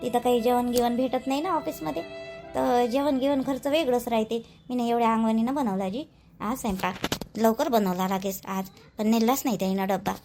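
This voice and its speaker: Woman playing worried young village wife, high voice. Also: a little fast, music in the background, close to an everyday voice. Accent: Vidarbha Marathi. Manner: plaintive, rambling. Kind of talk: homely cartoon drama dialogue.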